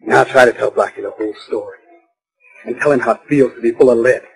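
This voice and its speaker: A man's voice: medium pitch, quick pace, vocal fry, natural voice, low-quality recording. A man playing a menacing, hot-tempered killer in a 1940s radio drama, snarling curt threats on a vintage recording. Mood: cold, gloating. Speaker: actor playing villain.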